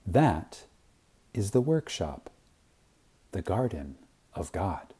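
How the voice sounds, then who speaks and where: A man reading an inspirational spiritual lecture aloud in a reverent, dramatic way, with long pauses and strong emphasis. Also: low voice, sing-song, warm tone, slightly rough.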